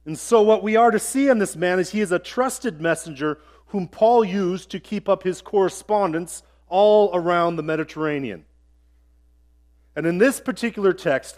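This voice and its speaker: A man preaching a sermon in a conversational way, with small asides and jokes. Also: sits high. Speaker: pastor.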